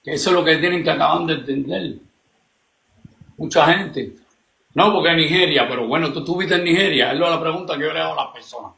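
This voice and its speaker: A man talks bluntly in a gravelly, somewhat high voice. His speech is animated and sprinkled with casual swearing. The room around him sounds echoey. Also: a little fast. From Cuba. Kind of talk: casual religious debate.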